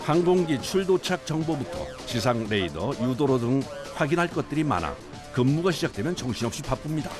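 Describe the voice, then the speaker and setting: A man's voice: medium pitch, very animated, a little fast. A man reading scripted documentary narration. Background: background music.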